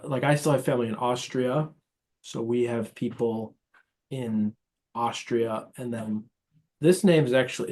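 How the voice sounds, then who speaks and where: A man's voice: mid-range voice, darkish tone. American man in his thirties, chatting casually. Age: 30s. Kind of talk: casual interview chat.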